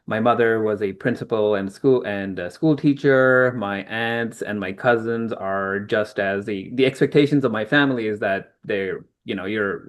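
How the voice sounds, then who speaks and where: Thoughtful middle-aged man from Pakistan. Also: on the low side. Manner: thoughtful, explanatory, with frequent ums and restarts. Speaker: author guest. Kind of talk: conversational interview answers.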